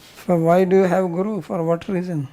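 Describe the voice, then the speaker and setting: An older man with an Indian accent speaks in a mellow, soft-edged tone. He teaches in a question-and-answer class, responding bluntly and questioning the student back. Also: sits high, level tone, moderate pace.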